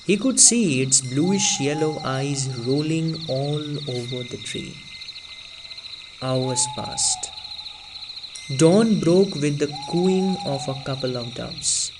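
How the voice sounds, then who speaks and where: A man reading a story aloud, slow and deliberate, sinking lower for the tense moments. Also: expressive, background noise. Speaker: story reader. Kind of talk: storybook read-aloud narration.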